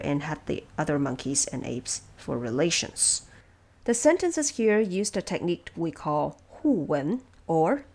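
Low-pitched woman presenting scripted notes. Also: darkish tone. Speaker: audio drama producer and presenter. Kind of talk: scripted explanatory narration of production notes.

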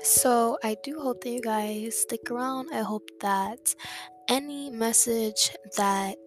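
A young woman hosting her own podcast, talking in a soft, reflective way and repeating words for emphasis. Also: on the high side, slow pace. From Dominican family background. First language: English. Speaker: podcast host. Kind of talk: casual solo podcast monologue. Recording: music playing underneath.

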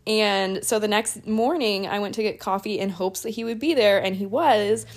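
A young woman speaks in a mid-range voice on a podcast mic. She is soft-spoken and supportive, and tells the story to her friend at an easy pace. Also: medium pitch, normal speed. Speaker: podcast co-host. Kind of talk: casual chat, storytelling.

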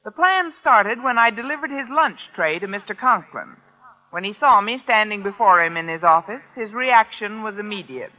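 Actress as a wry American schoolteacher, muffled vintage radio. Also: female voice, mid-range voice, animated, moderate pace, background noise, close to an everyday voice. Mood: dry. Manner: dry, sardonic, articulate. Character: wry, wisecracking high school English teacher. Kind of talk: 1940s-50s American radio comedy acting and narration. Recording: muffled old-time radio recording.

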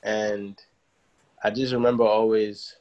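A young man born in Nigeria chats about his music in a relaxed, unhurried way. He circles back on his words and leans on easy fillers as he goes. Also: lowish voice, lo-fi sound. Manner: relaxed, loose, full of fillers and restarts. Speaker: musician guest. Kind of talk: casual interview chat.

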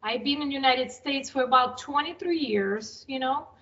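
Woman guest from Ukraine, high and bright voice, dull lo-fi sound, lively. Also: normal speed, slight background noise. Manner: lively, laughs often, says "you know" a lot. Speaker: podcast guest. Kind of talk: casual podcast chat.

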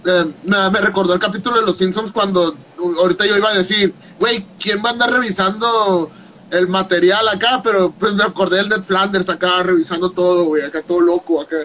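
Host in his 30s, playful and slangy, high sharp voice, faint hiss behind. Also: steady tone, fairly quick, poor audio. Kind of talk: casual chat.

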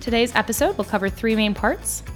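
A woman raised in France co-hosting a history podcast, giving clear, steady scripted narration in an explanatory tone. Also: on the high side, a little fast, soft background music. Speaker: podcast co-host.

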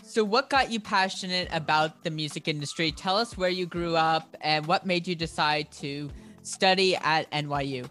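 Podcast host doing intros and questions, really smooth clean voice. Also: medium pitch, normal speed, pushed and bright, soft background music. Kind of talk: scripted introduction, then interview questions.

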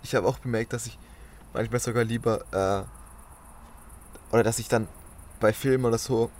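A man's voice: medium pitch, steady tone, moderate pace, a little mellow, slight background noise. A man in casual podcast chat, musing and thinking aloud in loose phrasing. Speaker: podcast co-host.

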